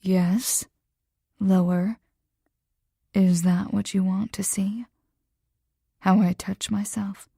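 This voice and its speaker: A woman voicing a frank, aroused adult man who speaks in a slow, breathy whisper in a romance audiobook. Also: mid-range voice, steady tone, warm tone, no echo, clean studio sound, full character voice. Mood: husky, intimate.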